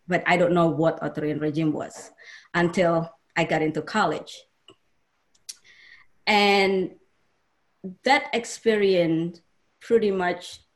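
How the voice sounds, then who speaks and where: Slow, low-voiced woman with Indonesian accent. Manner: halting, searching for words. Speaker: panel speaker. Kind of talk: informal personal talk.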